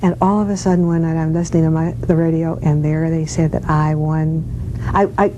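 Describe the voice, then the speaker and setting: An older woman, a former radio actress, reminiscing casually in an interview and telling anecdotes in an animated way. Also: a little fast, muffled recording. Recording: noisy with low rumble.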